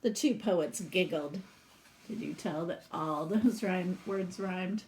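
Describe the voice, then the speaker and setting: Slow-paced woman reading a storybook aloud. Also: lowish voice, slight background noise, poor audio. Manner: animated, pausing to ask listeners questions. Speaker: teacher reading a picture book aloud. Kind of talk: expressive storybook read-aloud with asides to children.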